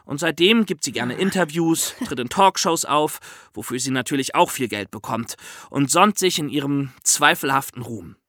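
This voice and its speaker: A man's voice: on the high side, animated, moderate pace, bright, forceful tone, no room sound, clean studio sound. A man with a German accent telling true-crime stories conversationally as a podcast host, with a wry, ironic touch.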